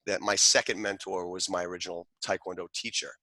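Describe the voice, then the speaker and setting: Earnest male guest, lowish bright voice, dry close sound. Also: flat delivery, a little fast, dry recording, low-quality recording. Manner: earnest, reflective, many restarts. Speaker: guest, martial arts grandmaster. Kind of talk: interview conversation.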